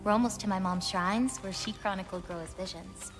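A woman's voice: mid-range voice, moderate pace, lightly acted. An actress playing a confident, playful young giant girl in a video game. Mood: calm, earnest. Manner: playful, teasing. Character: spirited, confident teenage giant girl, playful yet guarded. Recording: loud game music behind.

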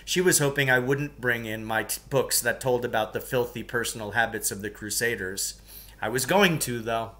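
A middle-aged man reading a first-person story aloud in an expressive storytelling style. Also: mid-range voice, normal speed. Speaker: teacher reading a book aloud. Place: living room at home, indoors.

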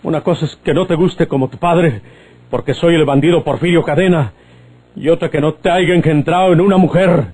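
A man's voice: on the high side, a little fast, darkish tone, slightly rough. An actor playing a hot-tempered, menacing bandit father in an old radio drama, with a Mexican accent and theatrical rustic speech. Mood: angry, threatening.

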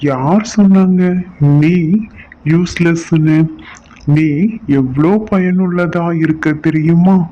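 A man as a slow-speaking, kindly household master. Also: on the high side, steady tone, dark tone. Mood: kind, reassuring. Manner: reassuring, patient. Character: kind, reassuring male master of the house. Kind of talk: children's moral story acting. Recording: dull lo-fi sound, faint noise.

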